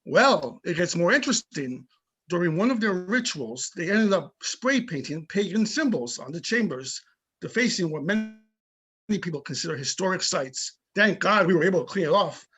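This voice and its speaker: A man playing a tough but amicable older police chief in an amateur readers theater, plainspoken and opinionated, with a husky voice. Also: high-pitched, lively intonation, moderate pace, a bit bright, heavily acted. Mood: matter-of-fact. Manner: plainspoken, opinionated, small-town storytelling. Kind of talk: amateur readers theater reading over videoconference.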